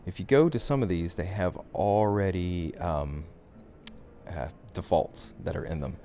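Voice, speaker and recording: A man giving a software tutorial as instructor, talking through the steps in an informal, conversational way. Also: low-pitched, expressive, a little slow, faint music behind.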